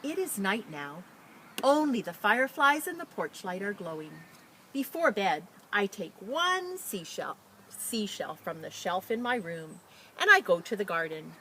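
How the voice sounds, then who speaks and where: A woman who works as a teacher librarian, talking and reading a picture book to children in an expressive, enthusiastic way. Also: mid-range voice, unhurried, slight background noise, rough recording. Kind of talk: children's story read-aloud with casual introduction.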